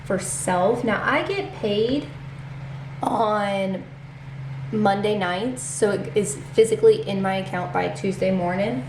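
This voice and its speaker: A woman's voice: mid-range voice, echoey room, background noise. Congested woman chatting through her budget. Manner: chatty, reads out figures slowly. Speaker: budgeting channel host. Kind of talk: casual budgeting walkthrough.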